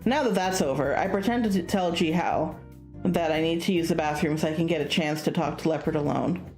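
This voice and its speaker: A woman's voice: deep voice, a little fast, a little mellow. A woman reading a visual novel aloud in a casual, informal let's-play style. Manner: informal, stumbles over unfamiliar names. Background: music.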